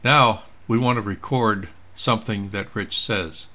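A man's voice: fairly low pitch, unhurried, sharp tone, slight background noise. A man giving a casual screen tutorial as an amateur radio operator, thinking aloud as he works. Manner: thinking aloud while working. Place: indoors at a computer.

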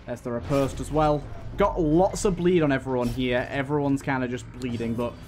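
A man's voice: mid-range voice, normal speed, darkish tone, faint music behind. A man giving casual gameplay commentary, thinking aloud and talking to the game's characters. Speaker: gaming video host.

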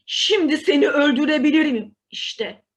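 A woman's voice: high-pitched, normal speed, close to an everyday voice. A woman voicing a fearsome, angry storybook beast with a menacing, thundering delivery. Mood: angry, threatening. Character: fearsome ugly male beast, angry castle owner.

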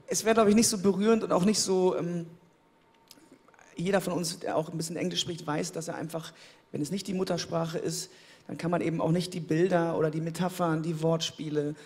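A man in his thirties, interviewed on a studio stage, speaking into a handheld mic in a relaxed, thoughtful way, often repeating words as he searches for them. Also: on the high side, fairly quick. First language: German. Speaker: interviewed pop singer. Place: indoor studio stage, seated in armchair. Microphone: handheld microphone.

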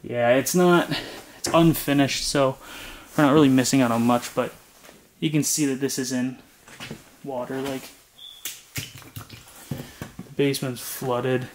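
A young man casually narrating his walk through an abandoned house, chatty and relaxed. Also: mid-range voice, a little slow, long pauses, a little hiss or hum. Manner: relaxed, chatty, frequent "like" and laughs. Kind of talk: casual walkthrough vlog. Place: inside an empty abandoned house.

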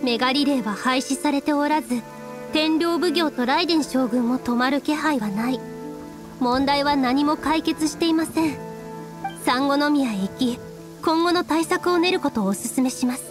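Woman doing high gentle young priestess commander, polite n composed, anime game style, bit of music and rain behind. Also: close to an everyday voice. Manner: polite, formal, measured. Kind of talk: Japanese video game voice acting. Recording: music and rain under dialogue.

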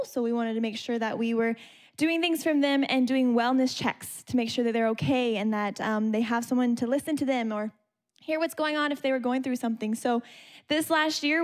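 A young woman with a Canadian accent, a youth center director speaking earnestly and softly into a handheld mic at a church, with many ums. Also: fairly high pitch. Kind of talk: guest talk at church. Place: church sanctuary stage.

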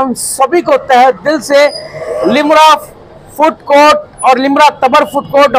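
Young Hyderabadi man, very high voice, hammy over-the-top pitch, crowded small eatery, handheld mics, faint noise. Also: poor audio. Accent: Hyderabadi Hindi-Urdu. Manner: theatrical, comic, exaggerating, stretched-out words. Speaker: restaurant owner promoting his food court. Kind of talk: energetic promotional speech to news cameras. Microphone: cluster of handheld news mics.

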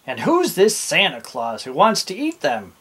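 A storyteller voicing a bold, gruff gingerbread pirate captain from a storybook, with emphatic, drawn-out exclamations. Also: medium pitch, wildly swooping pitch, fairly bright tone, pitched above the performer's own voice, full character voice. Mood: indignant, wondering. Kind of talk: storybook character voice.

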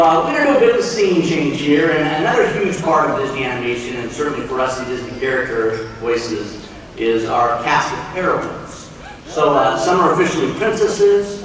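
A middle-aged man presenting live on stage as a panel host, heard over a hall PA. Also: mid-range voice. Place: large auditorium stage, indoors. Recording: echoey hall PA from far off, loud crowd.

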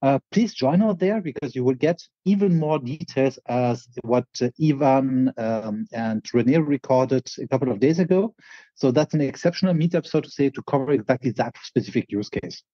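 A man from France with a French accent, co-hosting a casual podcast chat and talking fast with many restarts. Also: medium pitch, dark tone, no echo, muffled recording. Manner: quick, with frequent restarts and fillers. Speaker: co-host.

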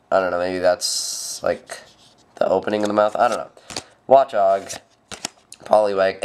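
A teenage boy with a congested, stuffed-up nose, rambling through a pack opening with plenty of fillers. His voice sits low and his talk is slow. The home camera recording carries clear background noise. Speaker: channel host. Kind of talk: casual card pack opening. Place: home room at a table. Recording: noisy home camera audio.

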